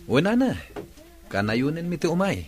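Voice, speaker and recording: A man's voice: a little high, very animated, normal speed, a little mellow. A young man acting a blunt single office worker in an Ilocano radio drama. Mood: joking, friendly.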